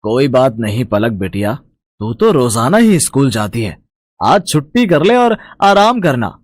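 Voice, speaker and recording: A man's voice: medium pitch, very animated, unhurried, dry recording, clean studio sound. A man playing a polite, mild working man in a children's story. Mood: gentle.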